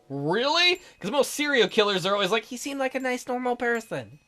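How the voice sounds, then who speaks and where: A woman's voice: lowish voice, a bit bright. A woman doing a game playthrough, reading text aloud and adding wry, sarcastic asides. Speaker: let's player. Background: game music.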